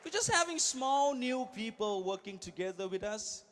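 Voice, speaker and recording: Bright, high-voiced energetic stage speaker man. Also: moderate pace. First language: not English. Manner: energetic, swings from quiet to shouted. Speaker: guest speaker. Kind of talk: motivational stage speech. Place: large event hall.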